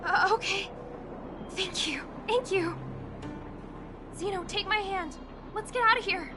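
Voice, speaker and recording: A little girl in a video game, frightened yet brave and polite. The voice is extremely high and small, with clear music behind it. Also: measured pace. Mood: anxious, grateful. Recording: voice under clear background music.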